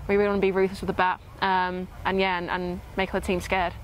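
Quick, even-toned young sportswoman. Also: on the low side, rough recording. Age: 20s. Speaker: cricket player. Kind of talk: post-match interview answers. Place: outdoor cricket field. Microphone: lapel mic. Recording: faint outdoor ground noise.

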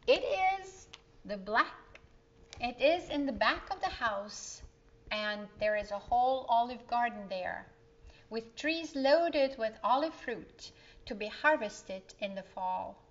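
A woman's voice: fairly high pitch, animated, takes it slowly, fairly bright tone. A woman voicing a kindly, knowing aunt in a storybook, explaining things patiently. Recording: dull, slightly lo-fi sound.

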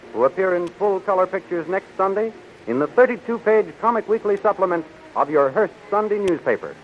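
High-pitched American 1930s radio announcer, male. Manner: theatrical, declamatory. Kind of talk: 1930s radio announcing, scripted narration and promotion. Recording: dull, noisy 1930s radio recording.

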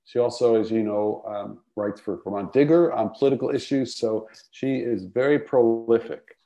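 A man's voice: medium pitch, dark tone. Older man introducing a speaker. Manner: earnest, halting, with fillers. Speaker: host introducing the poet. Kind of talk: warm spoken introduction. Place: home room, indoors. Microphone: earbuds on a video call. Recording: dull lo-fi video call audio.

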